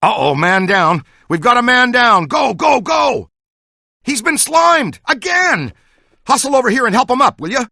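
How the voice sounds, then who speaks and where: Middle-aged ghostbuster type for a game, eager team leader, swings all over, super dry sound. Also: male voice, extremely high pitch, very animated, measured pace, gravelly, bright, forceful tone, no room sound, raised voice, exaggerated voice. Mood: urgent, shouting. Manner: enthusiastic, slangy, folksy team-leader talk. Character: eager, excitable middle-aged male ghost hunter mentoring a rookie. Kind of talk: video game voice acting.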